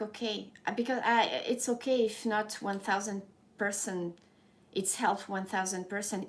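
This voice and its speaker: A woman's voice: fairly low pitch, a little hiss or hum. A woman in her thirties with a French accent, chatting casually with viewers on a live stream, rambling and frank with frequent restarts. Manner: rambling, self-correcting, frank, laughs easily. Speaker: YouTuber hosting a live stream. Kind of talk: casual live-stream chat reading comments.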